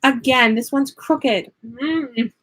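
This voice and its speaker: Young american woman chatting away while crafting, kinda high voice, laughs a lot, goes off on tangents. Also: takes it slowly, fairly bright tone, slight background noise. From United States. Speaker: craft vlogger and online teacher. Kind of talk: casual chat while crafting.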